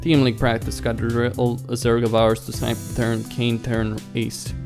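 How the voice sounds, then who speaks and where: A man's voice: mid-range voice, monotone, unhurried, close to an everyday voice. Man voicing a blunt, easygoing gamer captain over music. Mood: matter-of-fact. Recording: music behind the voice.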